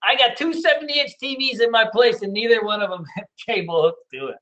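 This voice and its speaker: A middle-aged man teaching day trading in a casual, loud and blunt way, hooting and swearing as he talks over a screen share. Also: very high-pitched, fairly quick, lo-fi sound. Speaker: trading educator.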